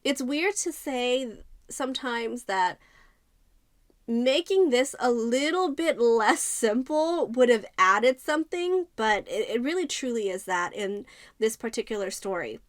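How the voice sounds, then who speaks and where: A woman's voice: a little high, expressive, unhurried, no room sound, studio quality. A woman giving a casual, conversational book review, thinking aloud and stressing her points as she talks to viewers.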